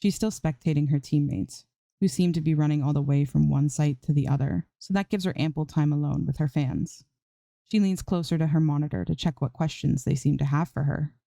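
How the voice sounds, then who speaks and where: A woman's voice: deep voice, brisk, creaky, warm tone, no echo. A woman reading a story aloud as an amateur narrator, in scripted prose. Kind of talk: scripted fan fiction narration.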